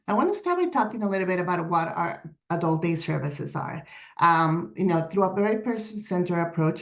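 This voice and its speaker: Raspy-voiced woman presenting a program. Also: fairly low pitch. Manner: earnest, steady, reads from prepared points with some fillers. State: raspy voice today. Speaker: director of day services presenting at a community forum. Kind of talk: prepared presentation on a video call.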